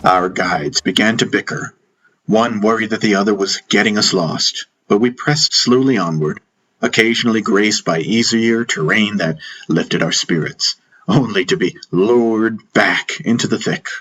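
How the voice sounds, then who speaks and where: A man's voice: lowish voice, a little slow, a bit bright, slightly rough, rough recording. A man reading a scripted first-person story as an audiobook narrator, with music behind him. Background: background music.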